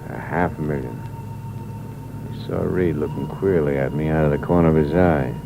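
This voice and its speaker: A man's voice: a bass voice, normal speed, gravelly, narrow, tinny audio. An actor playing a hard-boiled drifter who tells his own story in terse, wry narration. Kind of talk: 1950s radio drama acting. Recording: noisy old radio recording.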